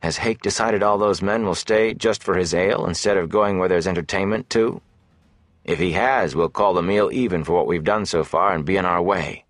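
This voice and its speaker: A man's voice: low-pitched, moderate pace, no room sound. A man voicing a wary young male traveler in a fantasy audiobook, earnest and guarded. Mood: defiant.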